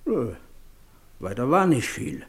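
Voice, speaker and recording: An actor playing a teasing, rough country horse dealer in a German radio play, telling things in folksy Silesian dialect. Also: male voice, mid-range voice, sing-song, full character voice. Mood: storytelling, sly. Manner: folksy, drawn-out storytelling.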